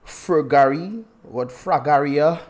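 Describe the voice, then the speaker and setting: A man's voice: fairly high pitch, slow. Chatty man with a Dominican accent. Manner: chatty, greets viewers by name, laughs often. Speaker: livestream host. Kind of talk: casual livestream chat.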